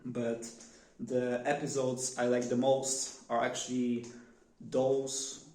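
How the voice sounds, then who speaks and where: Man, Polish accent, unscripted phone vlog; flat, unhurried delivery with lots of "uh"s and restarts, in an echoey room. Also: mid-range voice. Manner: hesitant, many fillers and restarts. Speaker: vlogger. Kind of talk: unscripted one-take monologue.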